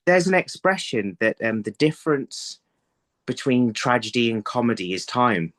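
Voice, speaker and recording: A man in his thirties giving conversational interview answers over a video call, haltingly, thinking aloud and repeating words. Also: medium pitch, big pitch swings, fairly bright tone. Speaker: writer being interviewed. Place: indoor room, video call. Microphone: phone on a video call.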